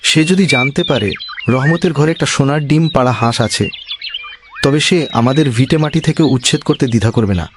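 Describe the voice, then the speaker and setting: A man's voice: medium pitch, brisk, background noise, close to an everyday voice. A man voicing a humble, earnest poor village husband in a cartoon, speaking in a cautioning, moralising way. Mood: worried warning. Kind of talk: cartoon voice acting.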